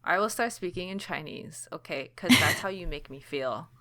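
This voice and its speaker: A woman's voice: on the low side, lively intonation, bright, forceful tone. A young woman co-hosting a podcast, chatting casually and laughing often, not originally from Toronto. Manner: giggly, chatty, quick asides. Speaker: podcast co-host. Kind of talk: casual chat.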